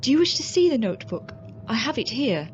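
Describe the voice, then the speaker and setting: Proper young schoolteacher, anxious devoted wife, highish lively voice, radio drama, slightly dull recording. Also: female voice, fairly quick. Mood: polite, worried. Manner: proper, earnest, emotive. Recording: dull sound with faint background noise.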